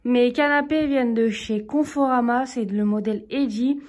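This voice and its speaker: A woman's voice: fairly high pitch, normal speed, darkish tone. A woman in her thirties giving a casual, chatty tour of her living room, filming with a handheld phone and adding friendly asides to viewers. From Turkish heritage, living in France. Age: 30s. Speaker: home vlogger. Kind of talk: casual home tour narration. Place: apartment living room, indoors. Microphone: handheld phone camera, speaker off screen.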